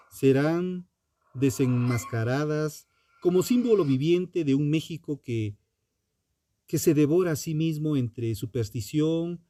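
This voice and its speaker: A man narrating scripted true crime in a solemn, deliberate, dramatic way, pausing between short phrases. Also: on the high side, mellow.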